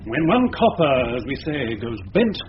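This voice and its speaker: A man's voice: mid-range voice, sing-song, moderate pace, lightly acted. A man playing a pompous English prosecuting barrister, making an oratorical speech to a jury in a radio drama. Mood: pompous, declaiming. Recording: noisy, muffled old radio drama recording.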